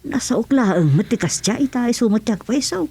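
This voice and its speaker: An animated, grumbling Ilocano mother. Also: on the high side, brisk, breathy edge. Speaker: actor in a radio serial. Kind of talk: Filipino radio comedy-drama acting.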